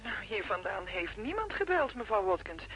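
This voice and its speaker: A woman's voice: medium pitch, big pitch swings, normal speed, a bit bright, husky, low-quality recording. An actress in a radio drama playing a polite, tentative woman speaking on the telephone. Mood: polite curiosity.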